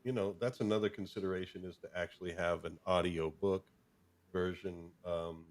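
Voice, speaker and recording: A man's voice: low-pitched, steady tone, moderate pace. A man hosting a casual talk show, chatting in a rambling way as he thinks aloud. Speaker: host of a fan fiction show. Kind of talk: casual chat.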